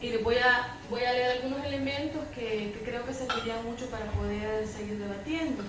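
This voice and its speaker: A woman's voice: fairly high pitch, steady tone, fairly quick. Distant woman giving panel remarks. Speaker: panelist, psychologist. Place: large echoey room at a public event. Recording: echoey hall, distant mic, clear noise.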